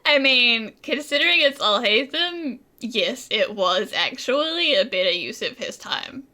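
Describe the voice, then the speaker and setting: A woman game streamer with a high, bright voice. She comments casually and wryly at an unhurried pace, with lively intonation. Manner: wry, amused.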